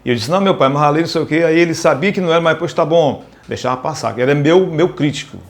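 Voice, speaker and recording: A man with a Northeastern Brazilian accent reminiscing in an interview, haltingly, often repeating words as he searches for them. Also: fairly high pitch. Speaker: radio presenter, interviewee. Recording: noticeable background noise, ambient sound.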